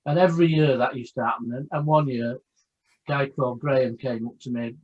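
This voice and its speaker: A man's voice: medium pitch, fairly even intonation, fairly quick. A man co-hosting a video chat show, a former teacher who tells anecdotes in a wry way. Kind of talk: casual video chat storytelling. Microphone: video call. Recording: dull lo-fi video call audio.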